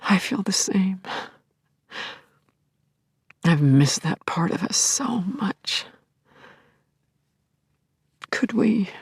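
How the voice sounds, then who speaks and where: An actress playing a tender, yearning middle-aged widow in an audio play, with a husky, breathy, confiding delivery that often drops toward a whisper. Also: female voice, mid-range voice, lively intonation, dry recording, studio quality, exaggerated voice. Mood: hesitant longing. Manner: confiding, halting, emotionally charged. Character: grieving middle-aged widow recalling her returned dead husband, tender, yearning. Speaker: lead actor in an audio play. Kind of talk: intimate first-person audio drama monologue.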